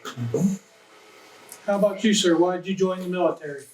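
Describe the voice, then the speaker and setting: A man hosting a group interview, friendly and measured, putting simple questions to each guest in turn. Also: sits high, a little hiss or hum. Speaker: interviewer, center director. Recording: echoey room, mic at a distance.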